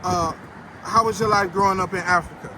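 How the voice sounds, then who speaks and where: A man with a notably high voice hosts a street interview, asking direct questions casually. Clear traffic and street noise run behind him outdoors. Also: fairly even intonation, brisk, pushed and bright, rough recording.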